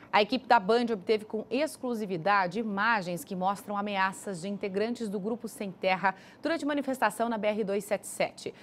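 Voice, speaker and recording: A woman's voice: medium pitch, a little hiss or hum. Woman anchor reading the news. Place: TV news studio.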